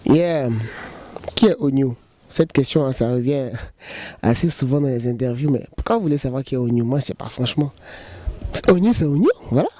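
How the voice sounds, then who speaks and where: A man in his thirties, a choreographer, answering interview questions in a relaxed, joking way and saying "voilà" often. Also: medium pitch, brisk, long pauses. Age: 30s.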